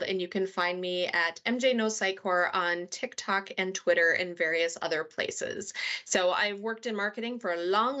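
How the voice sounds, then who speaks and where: A woman's voice: mid-range voice, bright, forceful tone, highs cut off. A woman from Minnesota with a Minnesotan accent, presenting in an upbeat, chatty way on a webinar, speaking into a webcam mic. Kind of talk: presenting slides.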